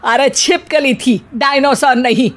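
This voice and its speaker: High-voiced bossy, sarcastic mother-in-law acted by a woman. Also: a little slow. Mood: scolding. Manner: scolding, sarcastic. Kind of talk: animated moral story dialogue.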